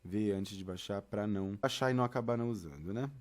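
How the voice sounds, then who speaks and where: A man's voice: fairly low pitch, quick pace, a little mellow. A man with a Brazilian accent presents a step-by-step tutorial in an informal, chatty way, speaking directly to the listener. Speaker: theatre and audio tutorial presenter.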